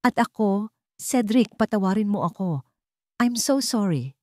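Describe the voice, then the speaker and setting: A woman's voice: mid-range voice, lively intonation, unhurried, a little mellow, no room sound, studio quality, voice pushed up, lightly acted. A woman playing a remorseful, resolute young woman in a romantic drama story.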